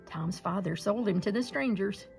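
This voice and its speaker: A woman reading a children's fairy tale aloud in a gentle storybook manner. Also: mid-range voice, normal speed, faint music behind.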